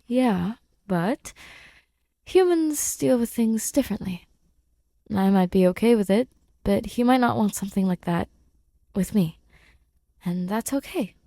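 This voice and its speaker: Woman as a hesitant, lovestruck man with creaky voice. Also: mid-range voice, lively intonation, dark tone, no room sound, clean studio sound. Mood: hesitant, wistful. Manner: hesitant, halting, conversational. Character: Grace, awkward lovestruck man, fond and self-deprecating. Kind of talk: audiobook fan fiction dialogue.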